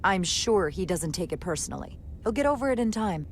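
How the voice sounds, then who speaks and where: An actress playing a confident, principled starship first officer in a video game, composed, assertive and formal. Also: female voice, fairly low pitch, lively intonation, fairly quick, a little hiss or hum. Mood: composed, respectful. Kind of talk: dramatic video game acting.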